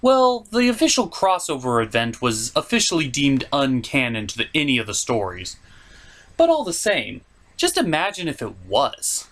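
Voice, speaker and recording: A man's voice: medium pitch, wildly swooping pitch, moderate pace, fairly bright tone. A man delivering comedic scripted review commentary as host, in a theatrical, opinionated manner.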